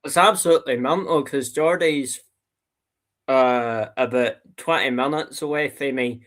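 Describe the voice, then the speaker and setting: A man's voice: medium pitch, a little slow, a bit bright. A man with a Northern Irish accent, a podcast guest talking football with passion and emphasis. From Northern Ireland. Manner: fired-up, emphatic.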